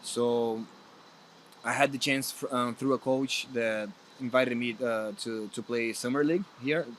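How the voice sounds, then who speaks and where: A man's voice: mid-range voice, steady tone, a little slow, a little hiss or hum, rough recording. A man from Brazil with a Brazilian accent, a guest player speaking earnestly in a casual interview, often restarting his sentences. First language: Portuguese. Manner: earnest, hesitant with many restarts.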